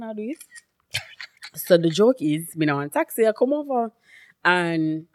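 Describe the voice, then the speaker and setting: A woman's voice: on the low side, darkish tone, dry recording, clean studio sound. A young Jamaican woman co-hosting a podcast, chatting and joking with frequent laughter. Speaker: podcast co-host. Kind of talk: casual chat.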